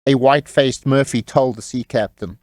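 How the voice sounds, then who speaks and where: A man's voice: mid-range voice, fairly even intonation, normal speed, darkish tone, dry recording. A man narrating a scripted comic tale as a wry, tongue-in-cheek storyteller.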